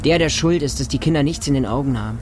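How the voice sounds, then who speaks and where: A man's voice: medium pitch, quick pace, noisy background, close to an everyday voice. A man playing a watchful, trusting homeless boy in a radio drama monologue, speaking in simple, childlike short sentences. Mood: tense, defiant. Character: homeless street boy living with a pack of dogs, watchful, trusting, defiant.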